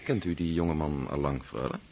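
A gentle, low-voiced family friend. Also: male voice, normal speed, slight background noise. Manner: gentle, probing. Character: thoughtful, kindly male family friend. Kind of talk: radio play acting.

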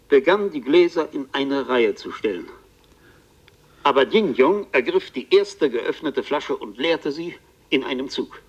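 A man's voice: mid-range voice, lo-fi sound, lightly acted. An actor playing a rueful bookseller who tells his own story as a radio play narrator, wry and earnest. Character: rueful male bookseller recounting his own strange adventure.

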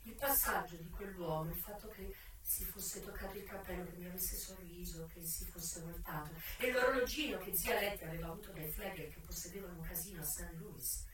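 A woman acting out a first-person memoir as a dramatic stage monologue, halting with pauses and repetitions. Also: fairly low pitch, a bit bright. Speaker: actress performing a solo stage monologue. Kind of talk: theatrical first-person storytelling of an adapted memoir. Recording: very echoey, distant mic, faint noise.

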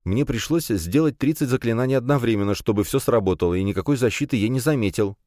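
A male narrator performs a young university janitor, a lad of nineteen, pitched up and flustered. He is earnest and hesitant, forever explaining himself in a dry, close audiobook recording. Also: lively intonation, quick pace, darkish tone, dry recording, close to an everyday voice. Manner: hesitant, flustered, self-justifying.

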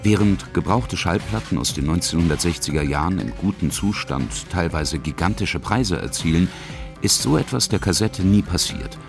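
Deep-voiced male radio feature narrator. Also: animated, normal speed, gravelly. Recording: music under the voice. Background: music.

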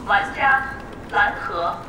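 A woman's voice: mid-range voice, lively intonation, slow, background noise. A woman voicing a game system that announces notifications in a formal, automated manner within an audio drama. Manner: formal, automated prompt delivery. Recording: roomy echo, distant.

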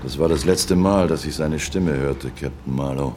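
Deep-voiced, brooding old seaman. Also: normal speed, a little mellow, slight background noise. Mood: mournful reminiscing. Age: older. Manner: ruminative, reminiscing, repeats himself. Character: brooding old merchant seaman, loyal, grieving his captain. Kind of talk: radio play monologue, storytelling.

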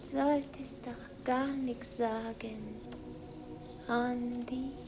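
An actress playing a soft, tender young woman in a 1950s radio drama. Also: fairly high pitch, fairly even intonation, extremely slow, over music. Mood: gentle reproach.

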